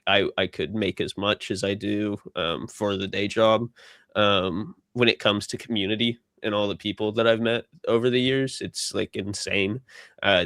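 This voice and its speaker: A young man talks casually in a podcast chat, laid-back, with lots of filler words. His voice is fairly low. The sound is close and dry with no room echo. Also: a bit bright. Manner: laid-back, filler-heavy, laughs easily.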